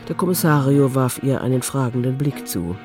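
A man narrating a radio crime drama in a calm, composed literary style. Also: medium pitch, normal speed, over music.

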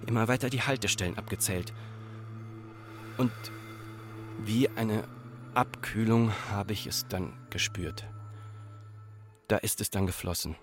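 An actor playing a farmer's anxious grown son in a radio drama, with an Austrian accent and a deliberate, repetitive, literary delivery. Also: male voice, lowish voice, lightly acted.

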